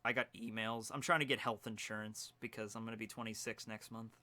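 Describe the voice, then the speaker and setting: A man's voice: on the low side, level tone, fairly quick, pushed and bright. A man in his twenties hosting a live stream from a room at home, chatting casually while he fixes technical problems. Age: 20s. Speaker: stream host.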